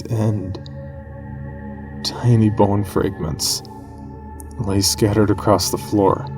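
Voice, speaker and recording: A man narrating a scripted horror story in a grave, measured manner with dramatic pauses. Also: lowish voice, gravelly.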